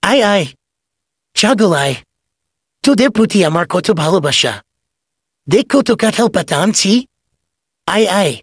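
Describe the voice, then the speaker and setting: A man's voice: high-pitched, lively intonation, unhurried, pauses often, slightly rough, heavily acted. A man voicing a sly, glib goat thief and swindler in a comedy cartoon. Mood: coaxing. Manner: glib, wheedling salesman patter.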